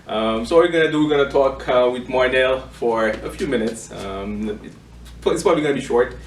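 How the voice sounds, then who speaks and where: Echoey, halting male interviewer. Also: medium pitch. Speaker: interviewer, event host. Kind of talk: informal interview, hesitant unscripted hosting. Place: indoor room with small audience. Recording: echoey room, distant mic, faint noise.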